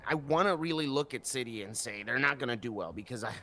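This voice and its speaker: Easygoing, halting man, mid-pitched. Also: bright, forceful tone. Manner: easygoing, halting, repeats words. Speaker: podcast co-host. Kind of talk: casual sports podcast chat.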